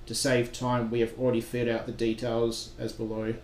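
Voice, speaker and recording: A man with a mid-pitched, fairly monotone voice walks through setup steps as a trainer. His delivery is plain and instructional. The recording is echoey, like an untreated room. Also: brisk. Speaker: software trainer. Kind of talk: instructional screen-recording narration. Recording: roomy echo with background noise.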